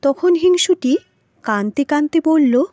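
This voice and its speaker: A woman storyteller narrating a children's story in an expressive, dramatic and emphatic way. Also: sits high, measured pace, dry recording.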